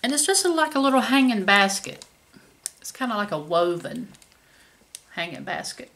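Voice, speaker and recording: A woman's voice: medium pitch, big pitch swings, moderate pace, a bit bright, a little hiss or hum. A woman, chatting casually about her shopping haul to a camera at home. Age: 50s. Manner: chatty, folksy, says y'all. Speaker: haul vlogger. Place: home kitchen indoors.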